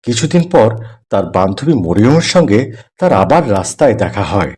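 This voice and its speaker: A man's voice: on the low side, big pitch swings, fairly quick, darkish tone, breathy edge. A man narrating a scripted moral story as its storyteller.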